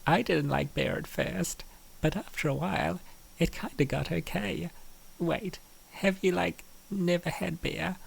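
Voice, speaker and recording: A man voicing bantering young college women roommates in a comic dub, with a raspy, creaky voice. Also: on the high side, a little slow, exaggerated voice, rough recording. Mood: casual, bantering.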